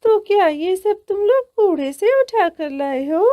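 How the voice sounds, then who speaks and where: A woman voicing a haughty, demanding young bride bird in a cartoon, shrill and indignant. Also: animated, unhurried, mellow, dry recording. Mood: disgusted.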